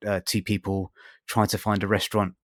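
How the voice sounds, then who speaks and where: A man's voice: on the low side, level tone, brisk, dry recording, clean studio sound. A man hosting a podcast interview, asking long rambling questions full of fillers. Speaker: podcast host. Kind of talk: casual interview.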